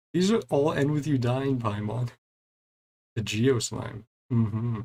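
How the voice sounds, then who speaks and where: A man's voice: mid-range voice, gravelly, a little mellow, a little hiss or hum. A man giving casual gameplay commentary, reading game dialogue aloud and adding wry, chuckling reactions in a laid-back way. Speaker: gaming streamer doing a playthrough.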